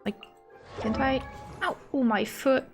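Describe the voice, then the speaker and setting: A woman livestreaming a game talks at a slow pace with plenty of vocal fry. She rambles and thinks aloud in a casual, self-deprecating way, with faint music behind her. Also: sits high, steady tone, darkish tone. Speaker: game streamer. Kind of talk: casual livestream chat and commentary.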